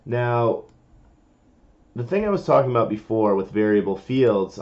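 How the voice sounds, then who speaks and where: Male tutorial presenter, lowish pitch, informal and explanatory, walking through steps, on a somewhat muffled lo-fi recording. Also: a little mellow. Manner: informal, explanatory, talking through each step. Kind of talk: casual step-by-step screen-recording tutorial.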